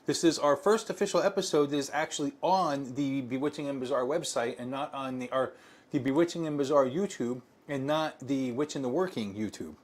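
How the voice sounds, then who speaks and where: A man co-hosts a casual live stream and chats with a mid-range voice. He rambles and keeps restarting his sentences, filling the pauses as he goes. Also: mid-range voice. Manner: chatty, rambling, with frequent fillers and restarts.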